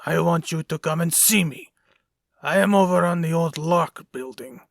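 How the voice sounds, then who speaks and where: A man playing an unhinged, taunting male supervillain in a comic book dub, sly and mock-wistful as he makes deliberate threats. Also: fairly high pitch, gravelly, no echo, clean studio sound.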